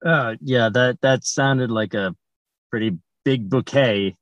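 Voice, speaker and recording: A man's voice: mid-range voice, takes it slowly, no echo. A man hosting a podcast with casual improvised comedy banter, dry and hesitant, recorded with slightly dull sound. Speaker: podcast host.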